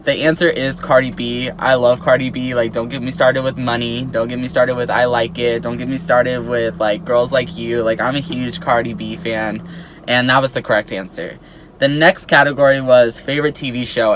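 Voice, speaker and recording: A man's voice: mid-range voice, moderate pace, background noise. An eighteen-year-old man chatting casually to his viewers as a YouTuber, peppering his talk with "like" and "um". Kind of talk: casual vlog chat to camera.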